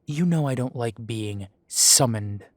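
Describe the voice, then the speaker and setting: A man's voice: mid-range voice, takes it slowly, dry recording. A man playing a sardonic half-breed werewolf with a dry, sarcastic way of talking. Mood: tense, bitter.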